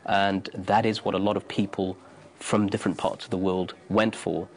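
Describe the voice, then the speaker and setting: Earnest low-voiced man in his thirties. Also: little pitch movement, normal speed, a little hiss or hum. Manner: earnest, insistent, restarts phrases. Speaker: interviewee. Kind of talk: documentary interview answers.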